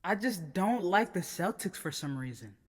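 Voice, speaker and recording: A man's voice: sits high, expressive, moderate pace, breathy edge, big-room sound. A man trading blunt, slangy comedic banter as the sidekick in a sports recap.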